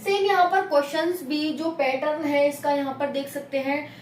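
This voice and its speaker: A woman with a high voice explains exam rules in a classroom lesson, stopping often to check that her students follow along. The recording is roomy and echoey, picked up from a distance, with faint noise underneath. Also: fairly even intonation, moderate pace. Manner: repeats checks like "clear?" and addresses viewers as companions. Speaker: exam coaching teacher.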